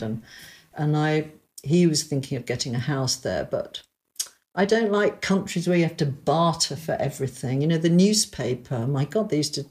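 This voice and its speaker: A woman's voice: low voice, a little mellow. Chatty older woman looking back. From London, England. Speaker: interview guest. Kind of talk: reminiscing in casual conversation.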